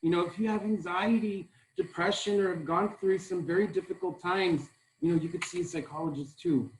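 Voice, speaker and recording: A man's voice: high-pitched, steady tone, brisk, echoey room, rough recording. A male psychologist speaking earnestly on a live online panel, filling his speech with "you know". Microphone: video call.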